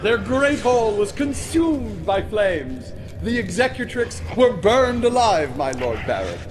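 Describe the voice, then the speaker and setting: A man playing a servile underling reporting to his lord in a video game cutscene, deferential and halting. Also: sits high, sing-song, exaggerated voice. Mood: anxious deference. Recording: noisy background.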